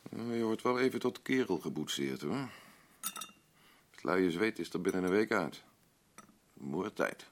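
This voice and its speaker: An actor playing a blunt, rambling working-class husband in a radio drama, boastful, self-pitying and defensive. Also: male voice, sits low, lively intonation, unhurried, long pauses, slight background noise. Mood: reminiscing. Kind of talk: naturalistic radio drama dialogue.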